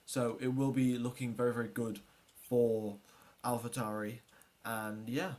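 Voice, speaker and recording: A man's voice: mid-range voice, flat delivery, unhurried, darkish tone. A man hosting a livestream, chatting casually with viewers and previewing a race in a rambling way, repeating words and often trailing off. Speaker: livestream host.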